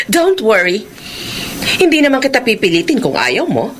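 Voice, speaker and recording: Slow, swooping seductive villain director. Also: female voice, on the high side, wildly swooping pitch, noisy background, exaggerated voice. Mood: smug, coaxing. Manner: slow, drawling, insinuating. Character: predatory, manipulative showbiz director, seductive and menacing. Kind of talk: radio drama acting.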